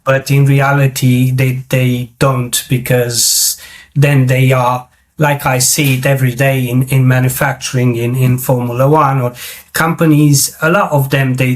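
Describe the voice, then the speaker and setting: A man from Romania who speaks English, hosting a business interview. He asks his questions hesitantly, with frequent fillers and restarted phrases. His pitch is in a plain middle range. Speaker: podcast host.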